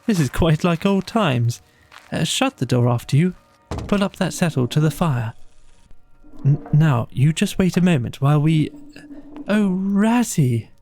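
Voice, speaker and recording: A man's voice: fairly high pitch, lively intonation, unhurried, darkish tone, faint music behind, pitched above the performer's own voice. A man voicing an eager, sociable, fretful mole in a storybook, with a flustered, slightly stammering manner. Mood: excited, flustered.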